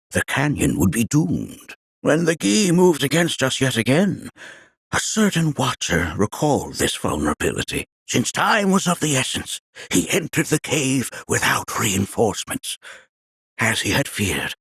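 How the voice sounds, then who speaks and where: An actor playing a wise, kindly, very old village elder in a video game, raspy and creaky, speaking in formal, archaic, theatrical phrases like a storyteller. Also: male voice, mid-range voice, huge pitch swings, fairly bright tone, no echo, full character voice. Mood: grave, storytelling. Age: older. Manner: formal, archaic, measured storytelling with dramatic flourishes.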